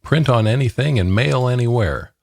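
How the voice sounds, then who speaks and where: A man's voice: medium pitch, animated, normal speed, vocal fry, dry recording, clean studio sound. A man reading scripted sponsor messages as a radio announcer. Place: dry studio, close mic.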